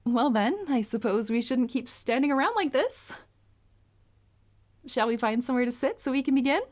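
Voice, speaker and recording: A woman playing a polite, earnest, bashful young anime heroine with a crush, speaking formally and gently gushing in a roleplay audio. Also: sits high, lively intonation, moderate pace. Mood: curious, eager. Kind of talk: anime character roleplay audio, addressed to listener.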